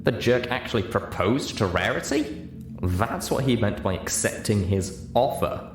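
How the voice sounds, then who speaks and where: A man's voice: on the low side, animated, unhurried, over music. A man reading a first-person story aloud as scripted audiobook narration. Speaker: audiobook reader. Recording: roomy echo, clear background hiss.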